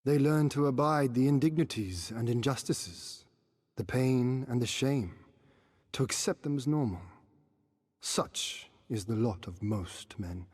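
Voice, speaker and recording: Casting: stoic young warrior prince for fantasy game cutscene, husky, archaic measured speech, reverberant hall. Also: male voice, medium pitch, a little mellow. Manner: formal archaic diction, measured, oratorical. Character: young exiled prince of a conquered eastern land, earnest, stoic. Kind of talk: cinematic video game voice acting.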